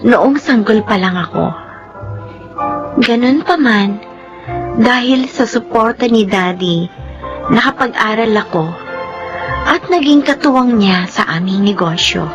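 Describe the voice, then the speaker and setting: A woman acting an earnest, trusting young single woman in a Filipino radio drama, speaking in a measured, emotive way. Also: a little high, expressive. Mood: earnest, reflective. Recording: dull lo-fi radio drama with music.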